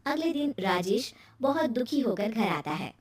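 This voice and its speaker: A woman's voice: a little high, very animated, unhurried, reverberant, distant mic, a little hiss or hum. A woman reading scripted story narration.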